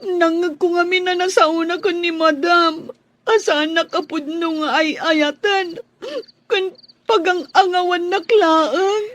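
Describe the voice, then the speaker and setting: Young filipino maid, heartbroken radio drama, super high weepy voice, slow. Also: female voice, fairly even intonation, a bit bright, heavily acted. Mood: anguished. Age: young adult. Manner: plaintive, weepy. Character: heartbroken young housemaid in love, tearful and wounded.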